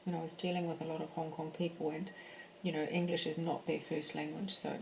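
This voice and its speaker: A woman's voice: low-pitched, little pitch movement, a little fast, creaky, darkish tone, slight background noise, poor audio. A woman teaching a live painting class from her home, chatting casually as she works and thinking aloud with fillers and restarts. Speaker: art teacher. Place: home in a French village.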